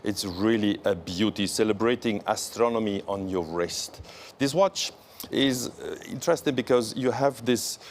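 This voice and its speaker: Low-voiced man presenting luxury watches. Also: a little hiss or hum. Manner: careful, admiring, pauses between phrases. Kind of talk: live show product walkthrough.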